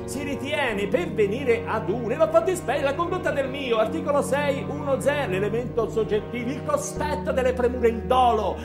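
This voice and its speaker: An actor playing a pompous, slick, overbearing defence lawyer who declaims legal jargon emphatically in a radio drama. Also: male voice, extremely high pitch, moderate pace, hardly any pauses, over music, pitched above the performer's own voice. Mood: bombastic.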